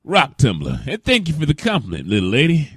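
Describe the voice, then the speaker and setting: Guy doing folksy southern pony dad, wary wasteland settler, drawly, voice kinda high and all over the place. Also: wildly swooping pitch, fairly quick, a bit bright, breathy edge, no echo, exaggerated voice. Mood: warm, jovial.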